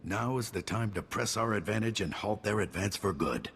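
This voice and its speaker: An actor playing a gruff, battle-weary general with a clipped, wry military delivery in a video game cutscene. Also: male voice, on the low side, normal speed, fairly bright tone, a little hiss or hum, close to an everyday voice. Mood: grim, resolute. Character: gruff, weary, wry male army general under siege.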